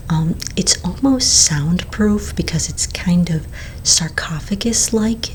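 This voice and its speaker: A woman playing a friendly, patient vampire sales agent in a soft, breathy, mostly whispered ASMR roleplay, gentle and unhurried. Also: sits low, a little hiss or hum, low-quality recording.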